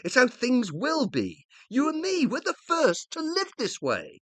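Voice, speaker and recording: An actor playing a dead scientist husband in a radio play, middle-aged and British, pompous, plaintive and indignant. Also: male voice, very high-pitched, wildly swooping pitch, unhurried, dry recording, heavily acted. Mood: indignant, pleading. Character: dead scientist husband living as an uploaded consciousness, needy, indignant. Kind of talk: radio play dialogue and monologue.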